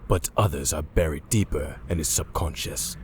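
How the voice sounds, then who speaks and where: Solemn, ominous dramatic narrator, male, low-pitched, slight noise behind the recording. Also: normal speed, darkish tone. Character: ominous, solemn omniscient comic-book narrator.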